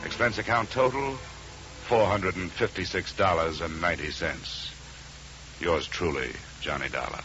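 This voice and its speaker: A man's voice: sits low, moderate pace, bright, forceful tone, faint music behind. An actor playing a wry, hard-boiled insurance investigator, narrating his case in a dry, clipped 1950s radio drama style. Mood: wry, matter-of-fact. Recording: muffled vintage radio recording.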